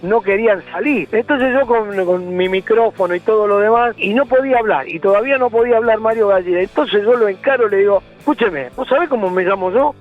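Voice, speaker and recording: A man's voice: high-pitched, fairly quick, few pauses. An Argentine man casually telling an anecdote in conversation. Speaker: sports reporter, interviewee. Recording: dull lo-fi line, faint music.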